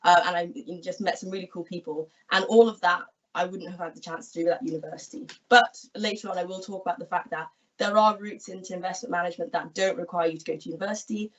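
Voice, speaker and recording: A woman's voice: on the low side, steady tone, fast talker, fairly bright tone. Young woman explaining on a video call. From England. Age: 20s. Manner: friendly, explanatory, gestures while talking. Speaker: equity research analyst guest. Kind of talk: online careers talk. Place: small room at home, indoors. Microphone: laptop webcam video call. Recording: distant, dull video call audio.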